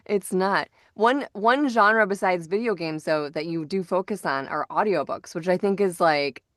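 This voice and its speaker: A woman's voice: lowish voice, moderate pace, fairly bright tone, dry recording, studio quality. A woman hosting a casual interview, speaking in a conversational style. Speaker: podcast host.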